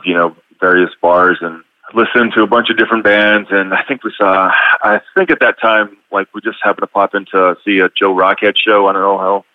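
A deep-voiced middle-aged man calls in from a phone. He tells a long story in an unhurried, rambling way, with plenty of ums, and the line sounds tinny and dry. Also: no room sound. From Arizona. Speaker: caller. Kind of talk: storytelling on a call-in show.

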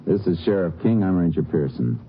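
Calm texas ranger, real low voice, clipped and deadpan, old muffled radio show. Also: male voice, brisk. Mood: measured, probing. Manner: clipped, matter-of-fact, deadpan. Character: calm, terse Texas Ranger lawman questioning a suspect. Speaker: lead actor and narrator. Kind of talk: 1950s radio drama acting and narration. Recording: muffled vintage radio recording with music.

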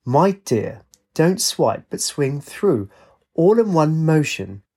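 A man's voice: fairly high pitch, sing-song, takes it slowly, darkish tone, slightly rough, pitched above the performer's own voice, heavily acted. A man voicing a joyful, docile elderly golfer with a genteel, mild manner in a story. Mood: instructive.

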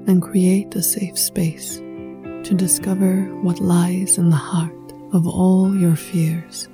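A woman leading a guided meditation in a soft, breathy voice that often sinks near a whisper, hushed, soothing and unhurried, with poetic scripted phrasing. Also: fairly low pitch, level tone. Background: music.

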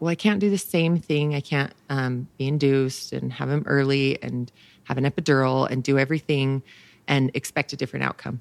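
A woman in her thirties, a podcast guest, telling stories in an animated way with lively quoted asides. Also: vocal fry, no echo. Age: 30s. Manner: animated storyteller, jumps into a high voice for quoted thoughts. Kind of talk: casual podcast chat.

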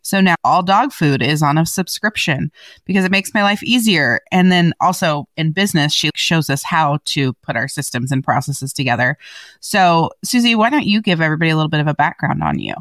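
A woman's voice: sits low, very animated, brisk, a bit bright, dry recording. A woman hosting a podcast, greeting listeners and introducing a guest in an upbeat, chatty way. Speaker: podcast host.

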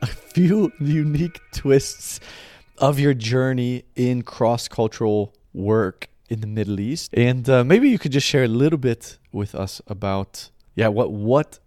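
A man hosting a podcast interview, speaking reflectively and prompting his guest with soft interjections. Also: mid-range voice, a little slow, dry recording, faint music behind. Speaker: podcast host.

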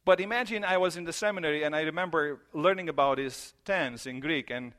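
Guy preaching a sermon, english not his first language, repeats stuff for emphasis, voice a bit high. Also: fairly bright tone. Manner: earnest, repeats key phrases, slows for emphasis. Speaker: pastor.

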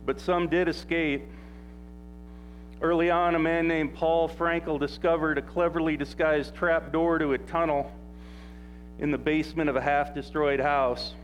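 A man's voice: fairly high pitch, level tone, measured pace, faint music behind, poor audio. A man preaching an informal sermon in a church, talking in a loose, rambling way with plenty of fillers and restarts. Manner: says he has a loud voice. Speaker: pastor.

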